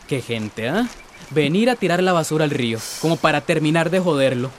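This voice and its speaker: An actor playing a kindly, earnest young environmental volunteer in a radio drama. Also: male voice, a little high, animated, a little fast, close to an everyday voice. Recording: faint background noise.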